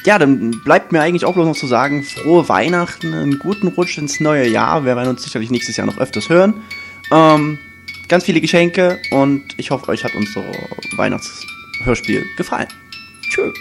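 A man's voice: a little high, animated, normal speed, creaky, over music. A man in an amateur audio play cast, speaking in a casual, informal style. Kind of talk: casual chat and amateur radio-play acting.

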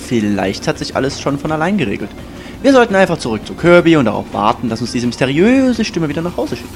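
A man's voice: on the high side, very animated, fast. A young man in scripted comic audio drama dialogue, with a grumbling, sarcastic manner. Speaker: performer playing himself in audio drama. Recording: music bed behind the voice.

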